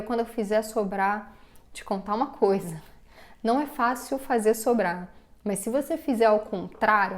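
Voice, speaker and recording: Woman, Brazilian Portuguese accent, finance educator, emphatic, speaks to the viewer, fairly high pitch. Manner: emphatic, addresses viewer directly, acts out viewer's doubts. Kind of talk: direct-to-camera scripted explainer.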